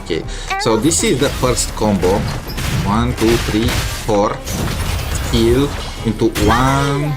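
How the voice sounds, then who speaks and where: A man's voice: mid-range voice, slow pace. A man thinking aloud in casual, mildly grumbling commentary as he plays a video game. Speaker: gamer streaming a playthrough. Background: game music.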